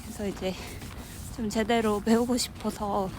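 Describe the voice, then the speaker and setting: A woman's voice: mid-range voice, fairly even intonation, racing, background noise. A woman vlogging in a chatty, cheerful way, with music under her voice. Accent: Korean. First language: Korean. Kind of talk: casual vlog talk to camera.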